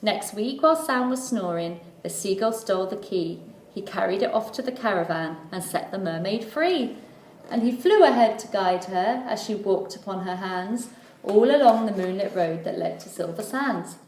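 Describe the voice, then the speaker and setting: Female primary teacher reading to children: mid-pitched, rhythmic storytelling, recorded in an echoey room. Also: expressive, moderate pace, darkish tone, slight background noise. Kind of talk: children's storybook read-aloud.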